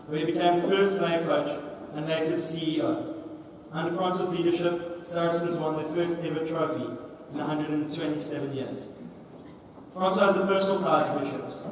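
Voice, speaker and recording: A man's voice: on the high side, slight background noise. Man introducing a speaker in a hall. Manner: measured, reading from notes. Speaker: master of ceremonies. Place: large echoey dining hall. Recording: echoey hall, speaker far from mic.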